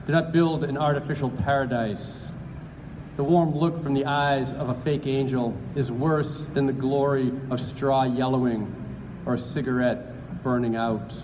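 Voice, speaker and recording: A man at a live event, reading poetry slowly and deliberately, with halting remarks full of fillers in between. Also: mid-range voice, steady tone. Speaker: poetry reader. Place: large event hall. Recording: roomy hall with background noise.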